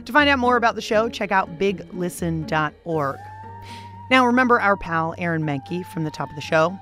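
A woman's voice: lowish voice, expressive, moderate pace, fairly bright tone, faint music behind. A woman hosting and interviewing on a radio show in an informal, playful way.

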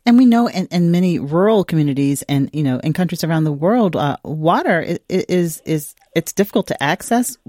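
A woman's voice: low voice, lively intonation, brisk, few pauses, no echo, studio quality. Woman hosting a radio talk show. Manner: warm, curious questioning. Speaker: radio host. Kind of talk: radio interview.

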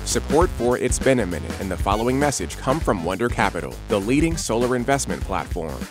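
A man reading a sponsor message in a smooth, measured way. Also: on the low side, big pitch swings. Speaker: sponsor announcer. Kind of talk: scripted ad read. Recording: music bed under the voice.

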